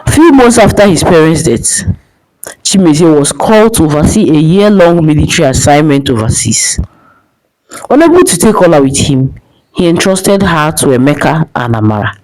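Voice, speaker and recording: A woman's voice: low-pitched, big pitch swings, normal speed, a little mellow, poor audio. A woman narrating a dramatic folktale as a storyteller, in a scripted style.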